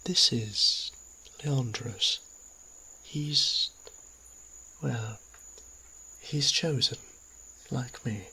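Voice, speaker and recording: A man playing a gentle, anxious vampire priest in an audio drama, hesitant and politely formal, with a husky, breathy voice. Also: medium pitch, extremely slow, pauses often, full character voice. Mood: flustered, awkward. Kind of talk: scripted audio roleplay acting.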